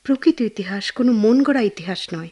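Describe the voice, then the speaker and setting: An actress with a Bangladeshi accent playing an earnest, devoted, quietly lonely woman, with soft, heartfelt radio drama delivery. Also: mid-range voice, expressive, a little fast, close to an everyday voice. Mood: earnest, admiring. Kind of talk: Bengali radio drama acting.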